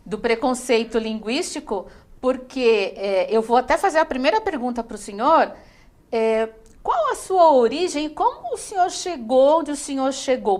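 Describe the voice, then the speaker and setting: Female host, teacher, polite formal interviewer, somewhat high voice, hesitant fillers. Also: a little mellow. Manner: polite, addresses the guest formally, many fillers. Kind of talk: educational broadcast interview.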